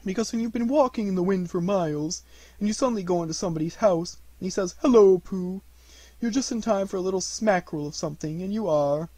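A man's voice: sits high, fast, warm tone, no echo, voice pushed up. A man voicing a friendly, cheerful, simple-minded toy bear in a storybook, with an earnest and naive manner.

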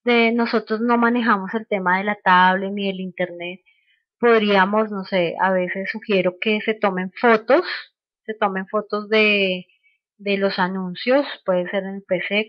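A woman giving an informal sales training webinar, explaining haltingly and checking in with short questions. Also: medium pitch, no room sound. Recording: dull, lo-fi online call audio.

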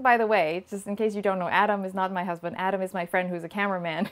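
A woman in her thirties from Thailand, hosting a cooking channel and answering viewer questions in a relaxed chat from her home kitchen. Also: mid-range voice. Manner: lively, talks with her hands, laughs often. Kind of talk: casual Q&A vlog. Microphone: small lapel mic.

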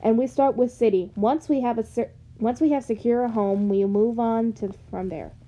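A woman's voice: fairly high pitch, moderate pace, warm tone, lightly acted. A woman voicing an eager male inventor, insistent and grandiose, in an amateur comic dub. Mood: scheming. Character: eager, commanding male inventor, robot's creator.

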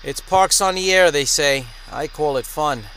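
A man's voice: a little high, unhurried, fairly bright tone. A man who hosts an amateur radio channel, talking casually as he narrates and makes radio contacts. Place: small indoor radio desk at home. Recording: noisy room with radio static.

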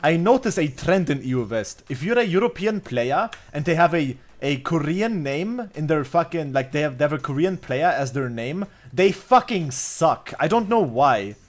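A man's voice: a little high, big pitch swings, normal speed, hardly any pauses. A man in his twenties streaming a game live, thinking aloud in a profane, offhand way. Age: 20s. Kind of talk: live gameplay commentary. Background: faint background beat.

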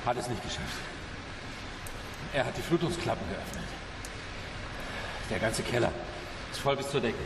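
Actor as distant, echoing sober police inspector. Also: male voice, mid-range voice, normal speed, pauses often, natural voice. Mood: grave. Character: sober male police inspector reporting a rescue. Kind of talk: German radio drama. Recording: distant, echoing hall, background noise.

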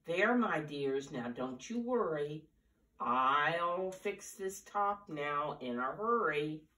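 An older woman voicing a brisk, reassuring mother fox from a storybook, soothing and emphatic. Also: low voice, animated, sharp tone, exaggerated voice. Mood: pleased, reassuring. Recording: roomy, distant camera microphone.

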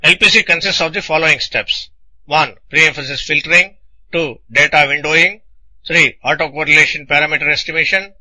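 A man narrating a scripted technical lecture in a deliberate, didactic manner, explaining things in short phrases. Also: on the high side, bright, forceful tone, rough recording.

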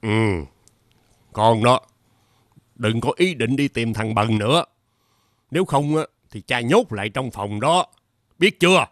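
A man with a southern Vietnamese accent playing a stern, greedy rich father in an animated fairy tale, bossy and given to drawn-out hemming. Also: mid-range voice, no echo, studio quality, lightly acted. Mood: gruff, threatening. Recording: music behind the voice.

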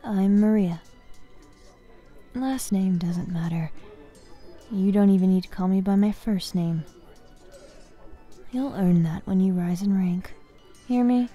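A woman playing a bossy but caring young fighters guild master in an ASMR fantasy roleplay, soft-spoken and commanding. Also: medium pitch, faint music behind. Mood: firm. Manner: soft-spoken, commanding, frequent sighs and pauses.

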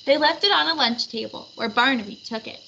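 A woman reading a children's storybook aloud in an animated narration style. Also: sits high, fairly quick, gravelly. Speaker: storybook reader. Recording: slightly muffled, faint background noise.

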